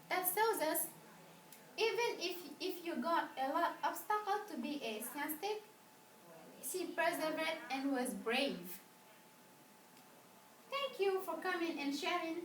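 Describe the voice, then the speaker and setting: A woman plays an admiring host of an amateur talk show. Her voice is high, and her manner is formal and effusive. She sounds distant in an echoey room with faint noise. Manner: formal, effusive, non-native phrasing.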